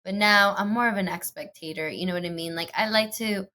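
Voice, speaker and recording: A young woman talks easily as a podcast co-host, relaxed and quick to laugh. Her voice sits at a middle pitch, and she speaks in a casual conversational way. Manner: laid-back, laughs easily. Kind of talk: casual chat.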